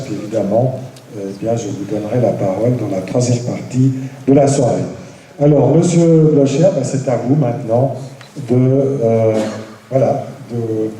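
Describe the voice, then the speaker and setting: Dark-voiced man moderating from a distance. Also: medium pitch. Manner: hesitant, many fillers and restarts. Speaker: debate moderator. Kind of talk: formal introduction. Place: hotel conference room. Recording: distant mic in an echoey hall.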